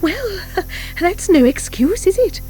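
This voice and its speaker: A fussy witch headmistress, played by a woman narrator, pitched high and swooping. She scolds in a fluttery, exasperated yet kindly way, in a children's storybook style. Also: very animated, slow, mellow, soft background music, exaggerated voice. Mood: chiding. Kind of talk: audiobook character acting.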